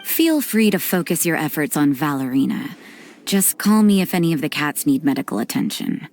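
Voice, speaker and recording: A woman voicing an aloof, deadpan, unsentimental young church sister in a video game, speaking matter-of-factly. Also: deep voice, moderate pace. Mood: indifferent, dry. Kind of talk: English video game voice acting. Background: faint music.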